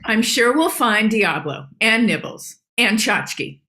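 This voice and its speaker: A self-assured cartoon lady dog who takes charge. Her voice is fairly high and bright, with a brisk, falsely soothing manner. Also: expressive. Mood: falsely calm. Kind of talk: animated comedy table read.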